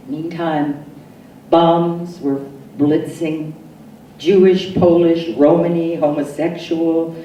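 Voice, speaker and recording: An older American woman gives a solemn poetry reading in a dark, slightly low voice. She phrases deliberately and leaves pauses between images. The recording is roomy, as if made at a distance from the microphone. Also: a little hiss or hum. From Newark, New Jersey, USA. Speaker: poet.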